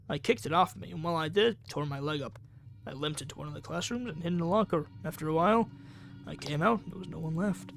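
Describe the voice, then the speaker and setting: A man reading the part of an injured, shaken student survivor in a visual novel, speaking haltingly. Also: a little high, creaky. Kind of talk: visual novel dialogue read aloud.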